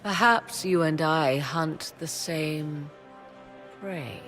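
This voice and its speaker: An actress playing a sly, mocking court sorceress in a video game cutscene, speaking slowly and teasingly. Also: female voice, sits low, a bit bright, full character voice. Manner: slow, teasing, measured. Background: music.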